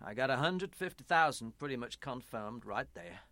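An actor in a radio play playing a smooth-talking evangelical pastor with a persuasive, salesman-like manner and an uneasy conscience. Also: medium pitch, expressive, normal speed, bright, forceful tone, dry recording. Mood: excited, persuasive. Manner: stammering, salesman-like persuasion, swelling into preaching. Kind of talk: radio drama acting.

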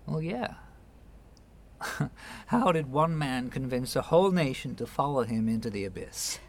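A man's voice: medium pitch, lively intonation, a little slow. An actor in a radio play voicing an earnest, patient man who speaks hesitantly, with small stammers. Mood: insistent, gentle. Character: earnest, patient man gently persuading a younger relative. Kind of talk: naturalistic radio play acting.

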